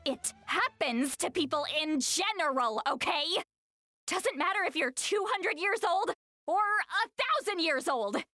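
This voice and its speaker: A woman's voice: extremely high pitch, lively intonation, unhurried, bright, forceful tone, dry recording, faint music behind, heavily acted. A woman voicing a flustered, defensive girlish video game character who speaks dramatically and is easily embarrassed.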